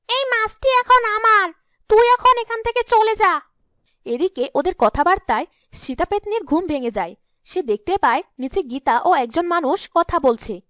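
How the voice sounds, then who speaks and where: A woman's voice: high voice, no room sound, clean studio sound. A woman narrating a scripted cartoon story as the storyteller.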